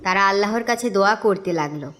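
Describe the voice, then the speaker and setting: A woman's voice: medium pitch, fast, a bit bright, a little hiss or hum. A woman narrator reading a scripted story for a cartoon in a storytelling style. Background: music.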